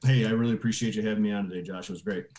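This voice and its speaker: A man's voice: on the low side, fairly even intonation, racing, a little mellow. A man giving casual interview answers as a guest, plain-spoken with hesitant starts, in a roomy, echoey recording. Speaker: podcast guest, real estate investor.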